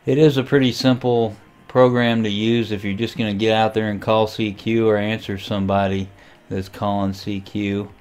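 A man giving a casual, unhurried screen tutorial, thinking aloud as he goes. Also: on the low side, flat delivery, a little mellow, clear, resonant tone. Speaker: amateur radio tutorial host. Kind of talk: casual screen-recorded software walkthrough.

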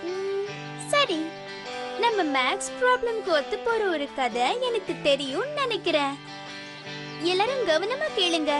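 Very high-pitched clever cartoon girl. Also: big pitch swings, unhurried, pauses often, exaggerated voice. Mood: reassuring, confident. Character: clever, reassuring young girl storyteller, a dutiful daughter. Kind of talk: cartoon dubbing. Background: loud music.

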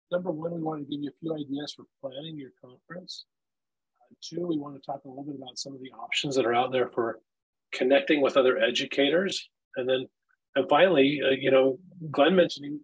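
A man with a gravelly voice presenting a casual online session for teachers over a video call, with loose, filler-heavy talk. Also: medium pitch, low-quality recording. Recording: video call audio, volume dropping in and out.

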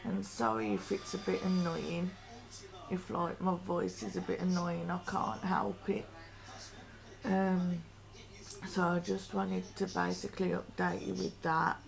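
A young woman vlogging at home through her laptop webcam, talking slowly and quietly with a hoarse, croaky voice from a sore throat. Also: fairly low pitch, fairly even intonation, poor audio. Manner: slow, rambling and quiet. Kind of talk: casual home vlog. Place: living room at home.

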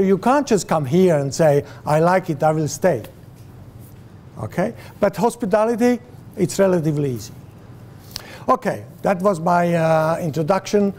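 A man's voice: sits high, darkish tone. A man in his sixties with a Swiss accent, giving a guest lecture to students in a classroom, gesturing and asking the audience questions, with many fillers and restarts. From Switzerland. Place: classroom with a screen, indoors. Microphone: far camera, no mic seen.

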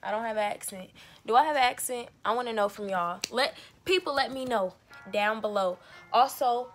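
A woman's voice: a little high, moderate pace, faint music behind. Playful young woman vlogging from a phone. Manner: playful and teasing, slangy. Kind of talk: casual chat to camera. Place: inside a car at night, then a small room. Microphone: phone camera at arm's length.

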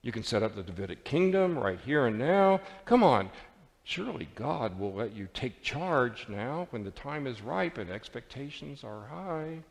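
A man's voice: mid-range voice, big pitch swings, normal speed, vocal fry. A man voicing a sly devil in a sermon, coaxing insistently and theatrically. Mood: urgent coaxing. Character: sly, coaxing male devil tempting grandly. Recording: reverberant church hall.

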